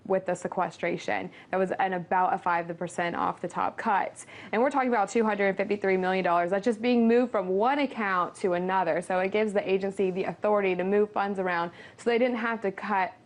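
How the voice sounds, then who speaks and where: A woman's voice: medium pitch, steady tone, brisk, few pauses. A woman giving matter-of-fact, detailed commentary as a financial analyst on a news panel.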